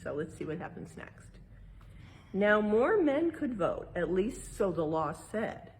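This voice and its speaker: A woman's voice: mid-range voice, big pitch swings, unhurried, slight background noise. A woman with an American accent reading a picture book aloud and explaining it simply for young listeners as a museum educator. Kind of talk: read-aloud with explanatory asides.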